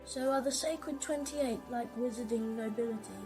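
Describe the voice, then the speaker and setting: A woman acts out a young orphan boy with a high voice. He sounds earnest, polite and curious, like a child in a dubbed fantasy comic. Soft music runs underneath. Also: normal speed, natural voice, poor audio. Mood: questioning. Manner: earnest, simple, questioning. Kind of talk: scripted fan comic dub dialogue.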